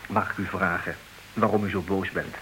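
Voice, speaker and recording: A man acting a stubborn, mock-courteous gentleman in a comic radio play. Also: low voice, steady tone, moderate pace, poor audio. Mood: teasing politeness. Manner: mock-courteous, formal, teasing. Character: suave, stubborn gentleman rival for a flat. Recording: hissy old radio play recording.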